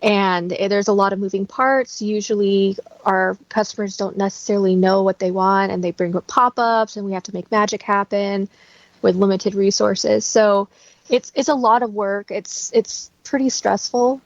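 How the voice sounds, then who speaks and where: A woman's voice: mid-range voice, fairly even intonation, moderate pace, no room sound. A woman speaks as an interview guest in a chatty, explanatory way, with a mid-range voice. The tone is conversational and informal. The sound is dry and a little dull, like a lo-fi recording.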